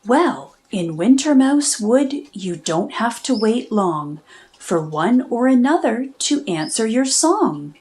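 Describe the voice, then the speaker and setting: Animated, slow-paced woman reading a storybook. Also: fairly high pitch. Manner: measured, rhythmic rhyme reading. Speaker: children's book reader and host. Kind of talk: expressive read-aloud storybook narration.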